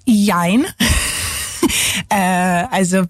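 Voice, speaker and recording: English woman, non-native German speaker, lowish voice, halting and chatty, dry close mic. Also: no room sound. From England. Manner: hesitant, many fillers, mixes in English words. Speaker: guest, single mother. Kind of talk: casual interview.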